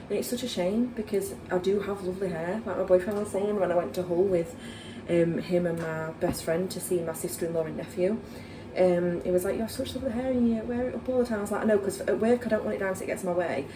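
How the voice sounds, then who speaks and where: A woman's voice: mid-range voice, recorded from a distance. Chatty Yorkshire woman in an echoey kitchen. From Yorkshire, England. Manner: chatty, rambling, with many fillers. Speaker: vlogger. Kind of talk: casual chat to camera. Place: home kitchen, indoors. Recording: echoey room with background noise.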